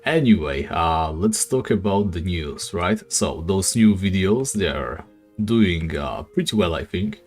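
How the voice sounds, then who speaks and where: A man streaming a game and chatting casually with viewers, speaking English as a second language, with a deep, raspy voice and a relaxed, rambling manner full of fillers. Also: unhurried, soft background music. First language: not English. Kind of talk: casual live-stream chat while gaming.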